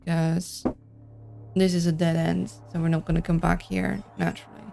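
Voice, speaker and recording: A woman's voice: lowish voice, level tone, normal speed, gravelly, dark tone, soft background music. A woman with a Dutch accent, streaming a game and chatting casually with her viewers, thinking aloud as she plays. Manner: chatty, reads and answers chat, thinks aloud. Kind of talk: casual livestream chat while playing.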